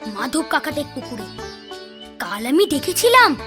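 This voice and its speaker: Woman voicing a very high-pitched mischievous cartoon boy. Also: big pitch swings, moderate pace, over music. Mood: bossy, scheming. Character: mischievous, bossy young village boy, ringleader of friends. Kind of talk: children's cartoon voice acting.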